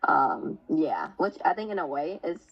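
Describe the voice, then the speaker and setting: A woman with a low, deep-set voice leads a relaxed interview. She hesitates, repeats words and fills gaps with "uh" as she goes. The recording sounds somewhat dull and muffled. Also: moderate pace, husky. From Vietnam. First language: Vietnamese. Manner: hesitant, many restarts and fillers. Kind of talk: casual conversational interview.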